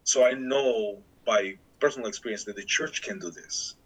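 A middle-aged man from Guatemala, speaking haltingly in an interview, with restarts and fillers. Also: medium pitch, fairly bright tone, husky, low-quality recording. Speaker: interviewee, church worker. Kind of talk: reflective interview talk.

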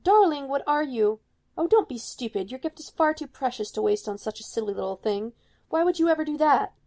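A woman's voice: a little high, lively intonation, fairly quick, creaky, warm tone. A woman voicing a controlling mother who talks down sweetly. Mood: sweetly scolding. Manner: condescending, falsely sweet. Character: sugary controlling mother, middle-aged woman.

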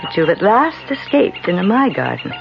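An actress playing Death as a gentle, knowing woman in a 1970s radio drama, measured and patiently authoritative. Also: mid-range voice, big pitch swings, vocal fry, noisy background. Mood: solemn, knowing. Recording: dull 1970s radio recording with music.

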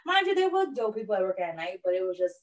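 A woman co-hosting a casual podcast, loud and brash, who swears freely and laughs often. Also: mid-range voice, very animated, fast talker, recorded from a distance. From Puerto Rican heritage. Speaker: podcast co-host. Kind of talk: casual chat.

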